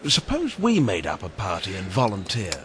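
A man's voice: low voice, huge pitch swings, moderate pace, a bit bright, slight background noise, heavily acted. An actor playing a jovial, callous businessman of Victorian times, gossiping flippantly in a radio drama. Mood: amused, flippant.

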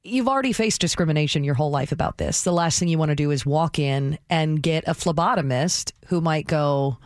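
A woman hosting live radio news, talking in a chatty, expressive conversational style. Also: deep voice, a little fast, dry recording, studio quality.

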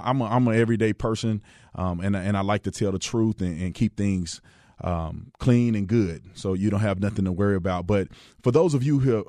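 A man from Texas in his thirties talks through his own radio show in an easygoing, rambling way, often restarting his sentences. His voice sits fairly low, and the studio sound is close and dry. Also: no room sound. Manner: relaxed, chatty storytelling with frequent restarts. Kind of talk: casual radio monologue.

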